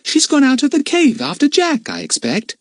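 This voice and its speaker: A man voicing a fierce, irritable girl who snaps in a high, impatient voice. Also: wildly swooping pitch, normal speed, no echo, studio quality, exaggerated voice. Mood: cross.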